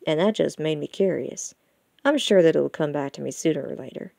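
An older wolf father from farm country, played by a woman narrator. The voice is low and mellow with gravelly creak. The talk is folksy and fatherly, as in an audiobook. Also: expressive, a little fast, dry recording, studio quality, heavily acted. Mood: warm, reassuring. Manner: folksy rural phrasing with y'all and ain't-style grammar. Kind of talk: audiobook character dialogue.

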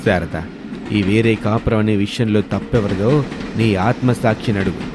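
A man voicing a patient, reasoning middle-aged father-in-law in an animated moral story, gently chiding his wife. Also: on the low side, normal speed, background noise, natural voice.

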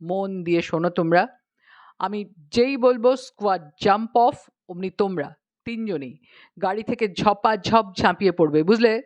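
A woman voicing a grave, calm, reassuring uncle who gives orders in a storybook tale. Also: mid-range voice, moderate pace, highs cut off, close to an everyday voice. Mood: grave command. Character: cheerful, unflappable uncle driving a car, commanding with grave calm.

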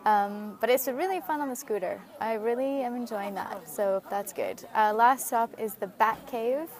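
A woman's voice: a little high, moderate pace, darkish tone. A woman in her thirties vlogging outdoors while travelling, talking casually into a phone held at arm's length, rambling with lots of ums and likes. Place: outdoors at scenic sites. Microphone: phone at arm's length with earphone mic.

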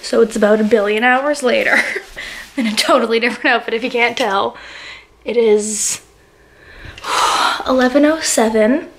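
Bubbly high-voiced young woman vlogging. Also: unhurried, long pauses. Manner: bubbly, giggly, chatty. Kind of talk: casual vlog chat. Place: restaurant and theme park, indoors and out. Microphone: handheld camera at arm's length. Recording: busy crowd noise around.

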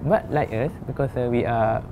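Young male interview guest, Malaysian accent, colloquial and casual, recorded with clear café noise behind. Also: mid-range voice, fairly even intonation, moderate pace, warm tone. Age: young adult. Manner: colloquial Malaysian English with sentence particles. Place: large open indoor café. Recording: busy café background noise.

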